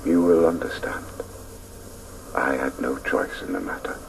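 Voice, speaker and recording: A grown man haunted by his childhood, telling it in solemn, hesitant first person. The voice is very deep and creaky. The radio recording is a little distant with faint noise. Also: very animated, a little slow, heavily acted. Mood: reflective. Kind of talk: dramatic first-person narration.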